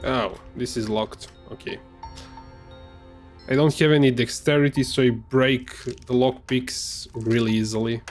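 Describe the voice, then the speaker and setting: A man's voice: medium pitch, fairly even intonation, measured pace, soft background music. A man whose first language is Serbian, casually commenting on a game he is playing, in a hesitant way with many fillers and restarts. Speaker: gamer streamer. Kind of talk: casual let's play commentary.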